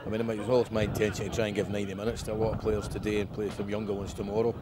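A man's voice: lowish voice, little pitch movement, rapid-fire. A man in his fifties, a football manager giving a frank post-match interview outdoors at a windy ground. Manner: frank, conversational, plain-spoken. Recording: outdoor recording with wind noise.

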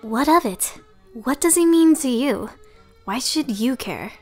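High, taunting young villainess played by a woman. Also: expressive, a little slow, a little mellow, soft background music. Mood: mocking defiance. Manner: taunting, sneering. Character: mocking, vengeful young female villain gone rogue. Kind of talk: fan comic dub acting.

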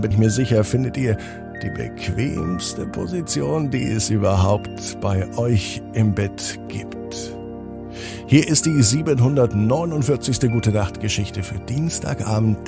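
A man hosting a children's bedtime story podcast, gently addressing young listeners with drawn-out emphasis. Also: on the low side, vocal fry.

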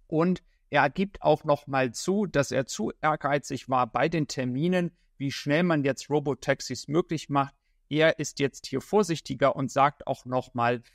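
A man in his thirties presents informal news commentary as an online host. His voice sits at a medium pitch and he explains his views in a measured way. The sound is dry, with hardly any room in it. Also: vocal fry, no echo. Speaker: YouTube host presenting electric car news.

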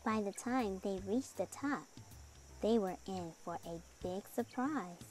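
A woman narrating a children's picture book aloud in an expressive storytelling style. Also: mid-range voice, measured pace. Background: music under the voice.

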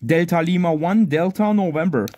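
High-pitched man calling on ham radio. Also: normal speed, a little mellow. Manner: clipped, repetitive callsign spelling with radio phonetics. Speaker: amateur radio operator. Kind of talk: amateur radio contest exchanges. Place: outdoors at a garden picnic table.

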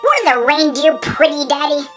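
A woman voicing a curious, excitable little troll child in a toy-play children's story, wide-eyed and questioning. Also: sits high, normal speed. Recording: loud music behind voice.

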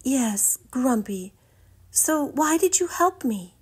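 Woman voicing a hesitant, high-voiced cow. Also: big pitch swings, slow pace, husky, full character voice. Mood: touched, hesitant. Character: grouchy but softening female cow, hesitant and touched.

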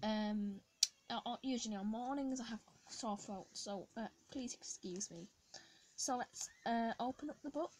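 A hoarse woman, a children's channel host, reading a picture book aloud at home, halting and restarting often. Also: high-pitched, darkish tone, a little hiss or hum, low-quality recording. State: hoarse from a sore throat. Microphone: phone camera over the book.

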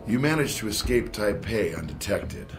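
A man playing cold, low-voiced spymaster villain. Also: expressive, music in the background, natural voice. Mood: cold, disdainful. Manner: measured, condescending, formal. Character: cold, calculating middle-aged male spymaster villain. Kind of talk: video game cutscene dialogue.

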